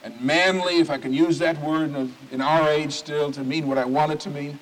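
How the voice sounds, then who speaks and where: A man's voice: a little high, steady tone. Oratorical man preaching in a reverberant hall. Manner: oratorical, building lists with emphatic pauses. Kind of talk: sermon.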